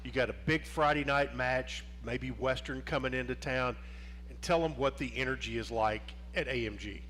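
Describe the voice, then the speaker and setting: A man hosts a relaxed sports interview show. He rambles and restarts his sentences, padding them with fillers. His voice sits at an ordinary pitch, with a faint hum behind it. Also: normal speed.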